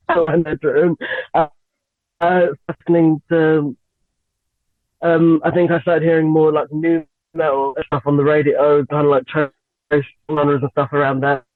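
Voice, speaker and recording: A young woman from Lagos and London, a singer, chatting as an interview guest with frequent giggles and lots of halting fillers. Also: sits low, flat delivery, no room sound. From Nigeria and England. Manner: giggly, hesitant with many fillers. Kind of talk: radio interview, casual chat. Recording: tinny phone-line sound, dry.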